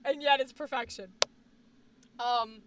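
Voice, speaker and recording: A woman's voice: on the high side, fairly even intonation, slow pace, distant mic, background noise. A woman hosting a casual livestream chat, talking in a rambling, emphatic, opinionated way, with a slight Boston accent. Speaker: livestream host.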